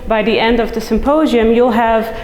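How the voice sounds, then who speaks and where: A woman's voice: fairly high pitch, fairly even intonation, moderate pace, a little mellow. A middle-aged woman from the Netherlands with a Dutch accent, giving an enthusiastic, chatty conference talk as a soil scientist, full of fillers and restarts. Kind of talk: conference presentation. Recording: echoey hall recording, faint noise.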